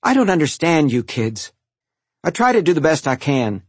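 A man's voice: mid-range voice, animated, moderate pace, slightly rough, no echo, voice pushed up. A man voicing a worn-out, exasperated mother who pleads with and scolds her sons in an audiobook story. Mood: hurt, exasperated. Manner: pleading, sighing, snapping into yells.

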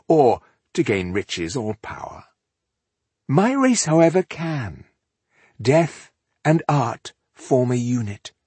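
A man's voice: mid-range voice, darkish tone, slightly rough, no echo, voice pushed up, exaggerated voice. A man voicing an arrogant, sadistic elf warrior in a fantasy audiobook, grandiose and mocking in a theatrical way. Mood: grandiose relish.

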